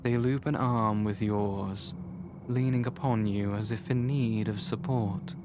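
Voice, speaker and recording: A man playing a solemn game narrator, reading scripted story narration in a measured, formal manner. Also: fairly low pitch, steady tone, noisy background, close to an everyday voice. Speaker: narrator of a visual novel. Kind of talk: scripted narration, second person.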